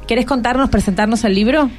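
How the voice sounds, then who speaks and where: Woman radio host, Buenos Aires accent, higher-pitched voice, conversational interviewing, prompting questions, music in the mix. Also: fast talker, a little mellow. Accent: Argentine, Buenos Aires. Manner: prompting, asks short follow-up questions.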